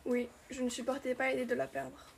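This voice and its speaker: A woman's voice: high voice, monotone, a little fast, gravelly, slight background noise. A woman playing an earnest archer heroine.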